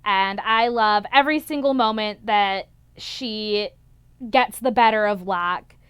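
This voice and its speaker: A young woman gives a casual, opinionated book chat. Her voice sits fairly high and is exceptionally clean and smooth. The sound is dry and close, with little room around it. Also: fairly bright tone, dry recording. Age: young adult. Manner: candid, opinionated. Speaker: book reviewer and video host.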